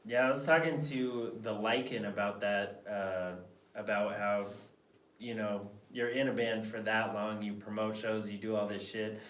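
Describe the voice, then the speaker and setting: A man's voice: lowish voice, steady tone, measured pace, a bit bright. A man with an American accent hosting a podcast interview in a laid-back way, joking and giving short replies. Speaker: podcast host. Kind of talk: casual interview. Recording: roomy, distant mic, faint noise.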